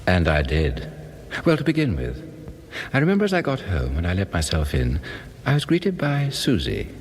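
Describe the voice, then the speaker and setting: An actor in his fifties with a British accent playing a fussy, brooding husband in a radio drama, measured and confiding. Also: low voice, huge pitch swings, slight background noise. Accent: British English. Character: fussy middle-aged husband confessing a dark urge to kill.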